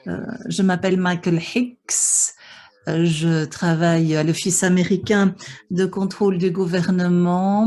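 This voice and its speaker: A woman's voice: lowish voice, dark tone. A woman interpreting a conference panel live, with many hesitations and restarts. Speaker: simultaneous interpreter for an online panel.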